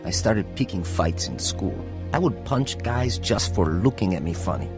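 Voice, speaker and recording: A man's voice: deep voice, very animated, normal speed, over music. An actor playing a troubled, hot-tempered young man in a radio drama, both in dialogue and telling his own story. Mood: confessional, reflective. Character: troubled hot-tempered teenage boy, also narrating his life as testimony. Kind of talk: radio drama acting and first-person narration.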